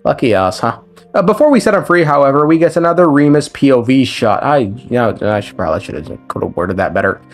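A man's voice: medium pitch, animated, quick pace. A man giving a casual scripted recap of a video game story as a lore commentator, with irreverent, sweary, slangy asides. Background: background music.

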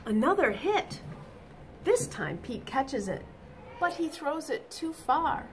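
Animated, high-voiced woman reading to children. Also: slight background noise. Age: middle-aged. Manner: expressive, deliberate, emphatic exclamations. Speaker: reader of a children's picture book. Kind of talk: animated read-aloud storytelling for children. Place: small indoor office or classroom.

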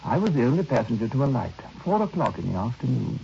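An actor playing a reflective man recalling a youthful summer, in measured, literary radio drama narration. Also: medium pitch, big pitch swings, gravelly, warm tone, a little hiss or hum. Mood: wistful. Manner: measured, literary, wistful storytelling. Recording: dull vintage radio recording with music behind.

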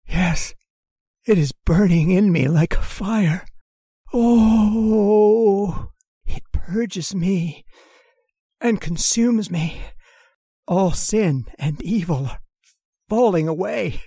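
A man's voice: sits high, very slow, long pauses, slightly rough, no room sound, studio quality, exaggerated voice. A man playing a mad, pious murderer who preaches scripture with menace in an old-time radio horror drama. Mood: mad ecstasy. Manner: preachy, hushed and menacing, swelling to ranting. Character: mad murderous male lodger, pious, scripture-quoting zealot.

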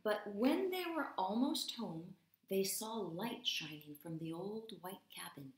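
A woman teacher in her thirties reads to young children. Her pitch moves widely and she takes her time, dramatic and deliberate, sometimes hushed. It is recorded in an echoey room at home. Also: expressive. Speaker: English teacher. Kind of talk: expressive read-aloud for young children. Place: small room at home, indoors. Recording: roomy indoor echo.

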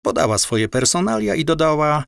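A man narrating a scripted audio drama in a measured storytelling manner. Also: on the high side, sing-song, gravelly, fairly bright tone, no room sound, clean studio sound.

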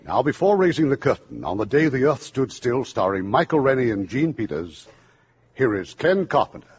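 Husky 1950s radio host, a man. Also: mid-range voice, sing-song, moderate pace. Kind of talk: formal 1950s radio drama introduction. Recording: dull 1950s radio broadcast recording.

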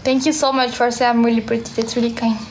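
Young french woman on a phone livestream, high voice, soft and slow, faint room hum. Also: flat delivery. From France. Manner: soft-spoken, slow and friendly, answering comments one by one. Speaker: livestream host. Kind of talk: live stream chat, reading viewer comments. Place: small room indoors. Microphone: phone held at arm's length.

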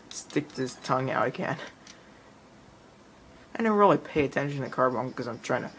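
A man with a medium-pitched voice gives casual gameplay commentary. He rambles and repeats himself as he plays. It is a homemade handheld recording in a room, with clear noise behind him. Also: rough recording. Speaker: gamer recording his playthrough. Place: indoor room in front of a TV. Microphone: handheld camera or phone filming the screen.

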